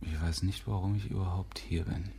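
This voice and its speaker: Radio play lover: cynical, restless, low and very breathy, terse resigned phrasing. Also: male voice, fairly even intonation, normal speed, dark tone, heavily acted. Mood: weary, irritated. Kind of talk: radio drama acting.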